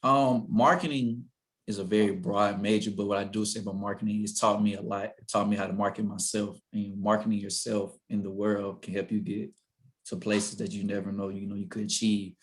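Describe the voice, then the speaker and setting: A young man in his twenties talks on an alumni panel, relaxed and friendly. His low voice moves quickly and stays flat in pitch. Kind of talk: casual panel talk.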